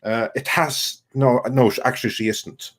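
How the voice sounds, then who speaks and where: Male live stream co-host, mid pitch, reads and comments on messages, halting and drawn-out delivery. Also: fairly even intonation. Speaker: co-host reading viewer messages. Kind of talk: live stream panel chat.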